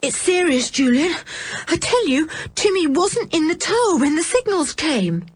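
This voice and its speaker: A woman's voice: a little high, sing-song, normal speed, husky, no room sound, pitched above the performer's own voice. A woman voicing an anxious tomboy girl in a children's audiobook, plaintive and breathless as she frets. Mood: breathless, alarmed. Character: anxious tomboy girl fretting over her missing dog.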